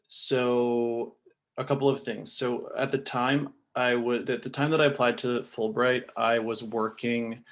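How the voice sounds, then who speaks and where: Gravelly-voiced man on a panel. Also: medium pitch. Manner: relaxed, thinks aloud with restarts. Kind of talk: casual panel talk.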